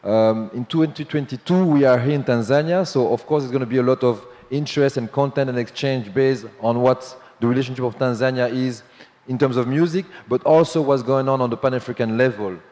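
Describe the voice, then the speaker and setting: A man moderating a conference panel, talking in a hurried, loose way with many fillers. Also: mid-range voice, a little mellow. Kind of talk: panel discussion. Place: large conference hall. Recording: long hall reverb.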